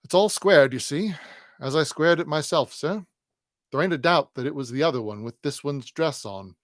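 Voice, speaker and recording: A man voicing a shrewd, jovial police detective who talks in a hearty, loud, coaxing way. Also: on the high side, normal speed, no echo, clean studio sound, pitched above the performer's own voice, close to an everyday voice.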